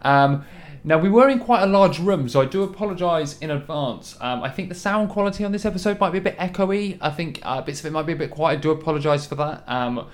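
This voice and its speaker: A man hosting a casual podcast, chatty and a little croaky from a hangover, with lots of ums. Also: fairly high pitch, expressive, fast. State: hungover, a bit croaky. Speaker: podcast host. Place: large room in a hostel. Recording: echoey large room.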